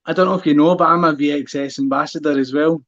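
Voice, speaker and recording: Glaswegian guy in his 30s hosting a live chat, a bit higher voice, dull lo-fi stream sound. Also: steady tone, quick pace, a bit bright. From Scotland. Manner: chatty, laughs easily, local words like aye and wee. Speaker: host.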